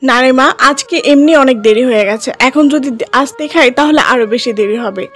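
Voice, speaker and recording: A woman's voice: high-pitched, fairly quick, a bit bright, faint music behind. A woman voicing a humble, anxious woodcutter father in a cartoon folk tale, fretful and pleading. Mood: hurried.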